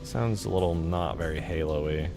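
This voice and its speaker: A man's voice: deep voice, moderate pace. A man talking casually on a livestream as he works on a game mod, informal and half muttering to himself. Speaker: game modder streaming his work. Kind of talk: casual livestream chat while working. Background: background music.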